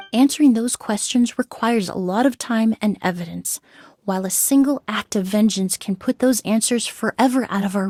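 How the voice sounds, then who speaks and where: A woman voicing a composed, analytical investigator in a video game, with a measured, reflective and articulate delivery. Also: mid-range voice, darkish tone, husky, dry recording. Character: composed, analytical woman, crime scene cleaner turned investigator.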